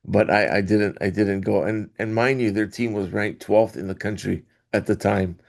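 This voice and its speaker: A middle-aged man from Hawaii, a guest in an interview, telling his story in a rambling way with restarts and soft laughs. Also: fairly low pitch, fairly even intonation, normal speed, vocal fry. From Hawaii, Oahu. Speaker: guest, athletic director association executive. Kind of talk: casual interview talk.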